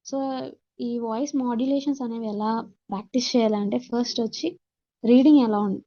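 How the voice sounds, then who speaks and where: A woman's voice: fairly high pitch, fairly even intonation, fairly quick, mellow, dry recording. A woman with a Telugu accent teaching in an instructional classroom-lecture manner, mixing in English terms, on dull, muffled audio. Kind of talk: classroom lecture reading out points and explaining them.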